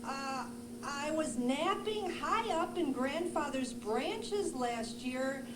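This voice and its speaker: A woman voicing a grumpy, bossy male raccoon puppet in a children's show, grumbling with drawn-out emphasis. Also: high voice, expressive. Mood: explaining. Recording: roomy, echoing, noisy live puppet show.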